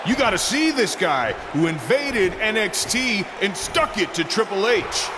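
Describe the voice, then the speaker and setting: A man's voice: sits high, sing-song, unhurried. A man playing a confident veteran star wrestler delivering a theatrical challenge in a wrestling game. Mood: taunting, building. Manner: theatrical, repetitive build-up. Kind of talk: in-ring wrestling promo. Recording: crowd and noise in arena. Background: arena crowd.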